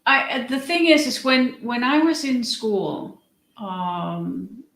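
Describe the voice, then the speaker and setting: A woman's voice: on the high side, slow, fairly bright tone. A middle-aged woman from the United States, a guest in a conversational interview, talking with many restarts and ums. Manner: hesitant, frequent restarts and ums. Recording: echoey room.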